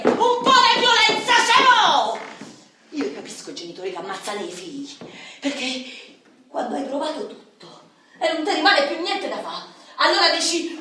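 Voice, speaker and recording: An actress plays a volatile Roman wife in a stage-style radio drama. Her voice is high and forceful, drawn out and theatrical. It is recorded from far off in a very echoey hall. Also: full character voice. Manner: theatrical, emphatic, edgy. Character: volatile, defiant, battered wife of a Roman hooligan. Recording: echoey hall from afar, hissy.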